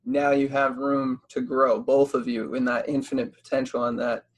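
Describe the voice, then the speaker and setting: Male podcast host, early 20s, American, mid-pitched, conversational and slangy, very informal. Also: little pitch movement, normal speed. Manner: slangy, bro-like informal phrasing. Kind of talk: casual conversational interviewing.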